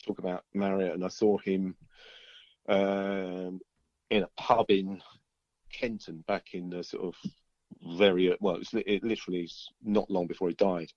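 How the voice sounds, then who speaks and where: Middle-aged male musician, interview guest. Low voice, hesitant rambling chat, muffled close dry recording. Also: a little mellow, no room sound. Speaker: interviewed musician, band member. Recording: dull, lo-fi band-limited line.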